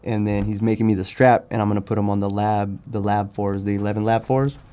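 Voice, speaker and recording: A man talking casually as a podcast guest, in informal slang, often halting and repeating his words as he explains things. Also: lowish voice, flat delivery, warm tone, smooth. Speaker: podcast guest, sneaker customizer. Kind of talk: casual interview chat.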